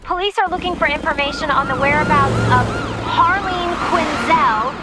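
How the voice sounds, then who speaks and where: An actress playing a formal news reporter reading a scripted bulletin in a video game. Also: female voice, high-pitched, normal speed, lightly acted. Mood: urgent. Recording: very noisy, with car sounds behind.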